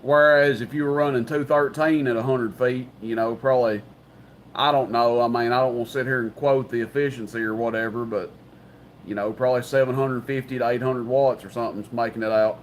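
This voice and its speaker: A man's voice: medium pitch, steady tone, fairly quick. A man explaining technical things casually and carefully on a CB radio chat. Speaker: CB radio operator. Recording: CB radio chat with faint noise.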